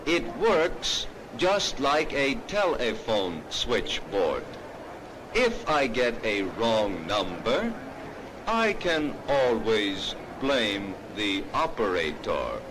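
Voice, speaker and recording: A cheeky, self-satisfied giant robot acted out by a man addresses a fair crowd in very slow, deliberate chunks. The pitch moves around a lot for all the halting delivery. It sits in a clearly noisy 1930s film soundtrack. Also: sing-song, heavily acted. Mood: boastful, cheeky. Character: cheeky, boastful giant humanoid robot showman. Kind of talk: 1930s stage demonstration patter.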